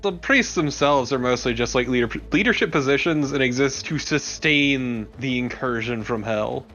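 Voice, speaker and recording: A man's voice: medium pitch, normal speed, bright, forceful tone, lo-fi sound, soft background music. A man co-commentating on a video game in a blunt, opinionated, casual way. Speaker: let's play co-commentator.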